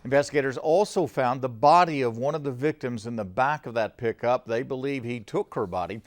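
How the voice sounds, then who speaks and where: A man's voice: medium pitch, brisk. A man reading scripted television news as an anchor in a studio.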